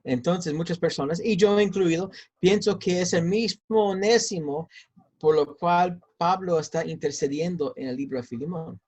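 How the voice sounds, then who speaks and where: A man's voice: high-pitched, normal speed, a little mellow, muffled recording. A man, a pastor and seminary teacher, answering interview questions in Spanish with an accent that mixes American and Mexican, hesitant with many fillers and repeats. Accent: mix of American and Mexican Spanish.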